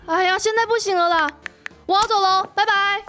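A woman's voice: very high-pitched, steady tone, fairly quick, sharp tone. A woman voicing a playful, teasing young female friend who giggles and loves to poke fun. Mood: hurried. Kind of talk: amateur audio drama acting. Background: background music.

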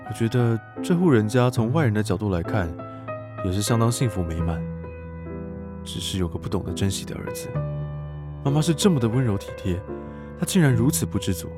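A man's voice: lowish voice, animated, a little fast, pauses often, loud music behind the voice, natural voice. An actor playing a young male tutor who narrates his diary of spying on a family, with a judgmental tone. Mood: reflective. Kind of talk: radio drama acting.

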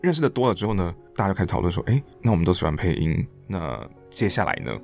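A man's voice: deep voice, very animated, very fast, vocal fry, a little mellow, faint music behind. A man with a Taiwanese Mandarin accent, casually telling stories as an interview guest. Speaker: interview guest, theatre director and voice actor. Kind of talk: casual conversational storytelling.

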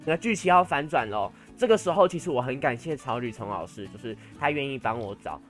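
Student podcast host: young man, Taiwanese Mandarin accent, mid-pitched voice, casual and playfully dramatic storytelling, light music underneath. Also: animated, brisk. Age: teens to young adult, high school senior.

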